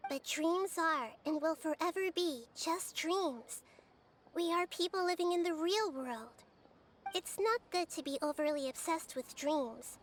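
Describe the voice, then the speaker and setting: A woman voicing a gentle, wise, childlike girl deity in a video game, speaking thoughtfully and articulately. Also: extremely high pitch, unhurried, a little hiss or hum. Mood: gently admonishing.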